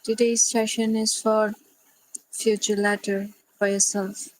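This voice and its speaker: A woman leading a guided sleep meditation, speaking gently and soothingly in short phrases with long pauses. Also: medium pitch, level tone, no echo, rough recording.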